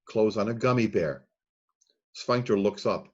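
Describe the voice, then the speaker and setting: A man narrating the stage directions at a screenplay read-through in a plain, steady reading voice. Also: fairly low pitch, a little slow, slightly rough, muffled recording. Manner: plain, steady reading of scene headings and action.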